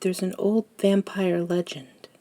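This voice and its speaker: A woman playing a gentle, reminiscing elder vampire in a scripted ASMR roleplay, speaking softly and intimately with thoughtful pauses. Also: fairly low pitch, little pitch movement, close to an everyday voice. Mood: wistful.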